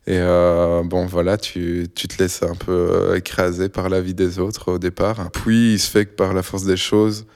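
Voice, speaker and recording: Low, flat-voiced Belgian man. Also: unhurried, hardly any pauses. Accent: Belgian French. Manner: relaxed, hesitant, many fillers. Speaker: musician. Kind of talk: casual interview answers.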